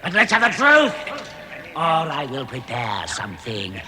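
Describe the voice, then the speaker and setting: A man's voice: medium pitch, huge pitch swings, bright, forceful tone, heavily acted. An actor playing a huge, tyrannical goblin king in a 1960s radio drama, pompous and suspicious, on a noisy vintage recording. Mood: menacing. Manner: slow, pompous, suspicious, swinging into fury.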